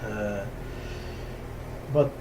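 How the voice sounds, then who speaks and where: A man from Russia speaking English with a Russian accent, talking slowly and hesitantly with many pauses and fillers in a casual spiritual talk to camera. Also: lowish voice, creaky, muffled recording, noisy background. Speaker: yoga teacher. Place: top-floor room at home on a hot day.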